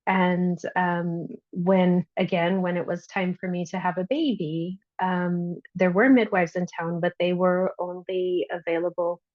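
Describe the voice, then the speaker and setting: A woman, a nurse midwife, telling her own story casually and haltingly on an online video call. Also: lowish voice, fairly even intonation, no echo, highs cut off.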